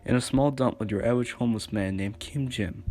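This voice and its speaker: A man's voice: medium pitch, fairly quick, darkish tone. A man narrating a scripted comic story for a homemade radio show.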